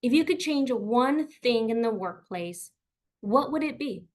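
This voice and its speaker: A woman's voice: fairly high pitch, animated, unhurried, a little mellow. A middle-aged woman from South Texas whose first language is Spanish, presenting a career webinar in a personal, encouraging way, often with fillers and repeated words. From South Texas, USA.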